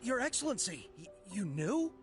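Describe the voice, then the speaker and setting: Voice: mid-range voice, big pitch swings, normal speed, close to an everyday voice. An actor voicing a devoted young soldier in an anime game, earnest, formal and deferential toward a commander. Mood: startled, deferential. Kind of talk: anime video game voice acting. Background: clear background music.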